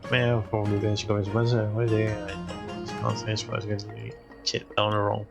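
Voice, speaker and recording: Low, flat, creaky-voiced man streaming. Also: fast talker, over music. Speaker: game streamer. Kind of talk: casual reactions and reading along while playing.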